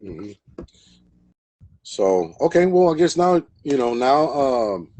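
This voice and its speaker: A man's voice: medium pitch, sing-song, slow pace, dark tone, no room sound, low-quality recording. A man hosting a live stream in a casual, rambling way, full of fillers and repeated words. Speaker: host.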